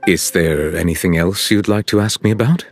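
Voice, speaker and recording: An actor playing a solemn, courteous chief justice in a video game, speaking in formal, measured, stately phrases. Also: male voice, sits low, over music. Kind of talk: scripted game cutscene dialogue.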